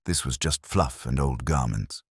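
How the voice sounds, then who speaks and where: A man's voice: rumbling low, lively intonation, unhurried, slightly rough, no room sound, studio quality, exaggerated voice. A man playing an eager, bewildered police sergeant in a mystery drama, earnest and deferential. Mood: eager bewilderment.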